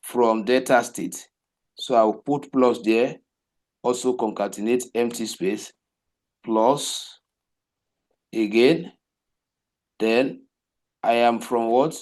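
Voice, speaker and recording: Man, coding teacher, slow and repetitive walkthrough, mid-range voice. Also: mid-range voice, a little mellow. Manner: slow, repetitive step-by-step explaining, asks rhetorical questions. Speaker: web development teacher. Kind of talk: live coding class walkthrough.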